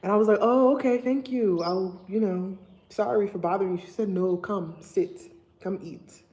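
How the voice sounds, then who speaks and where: A woman's voice: medium pitch, moderate pace. A young woman from Liberia with a Liberian accent, chatting casually and emphatically to her viewers on a livestream. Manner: animated, emphatic storytelling. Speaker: vlogger.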